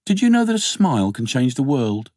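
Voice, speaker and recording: A man's voice: medium pitch, sing-song, a little fast, a little mellow, no echo, voice pushed up. A man acts out a thoughtful, hesitant woman lover in an audiobook. She speaks in an earnest, musing way with a teasing streak. The recording is close and dry.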